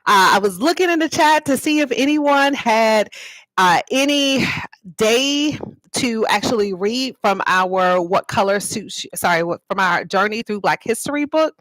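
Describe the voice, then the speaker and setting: A woman's voice: a little high, expressive, a little slow, fairly bright tone, dry recording, poor audio. A woman talking informally to livestream viewers as an author and host, explaining things and inviting them to respond. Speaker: author and host of a live read-aloud.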